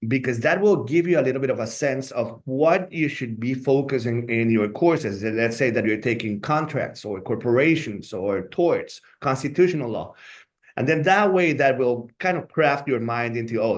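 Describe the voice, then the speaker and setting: A man from Colombia whose first language is Spanish speaks English with a Colombian Spanish accent. His pitch sits in a mid range, neither high nor low. He talks earnestly and keeps checking in with a quick "right?". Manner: earnest, often adds "right?" as a tag. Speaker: law school staff member. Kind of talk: informational webinar talk.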